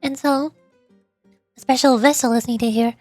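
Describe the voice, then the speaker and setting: A woman's voice: sits high, fairly even intonation, normal speed, darkish tone, dry recording. A woman livestreaming a game, reading its text aloud and muttering her own reactions, with game music behind her. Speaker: streamer.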